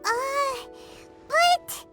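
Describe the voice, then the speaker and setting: A woman voicing a small, excitable, childlike fairy girl with a squeaky voice in a video game. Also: very slow, exaggerated voice. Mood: curious. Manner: speaks of self in third person, exclamatory. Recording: music behind the voice.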